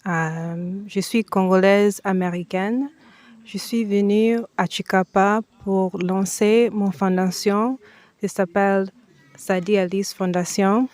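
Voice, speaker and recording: Slow, halting woman. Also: mid-range voice, fairly even intonation, a little mellow. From United States. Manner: slow, halting, with frequent hesitations and restarts. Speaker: foundation president, guest. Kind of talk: TV interview answers.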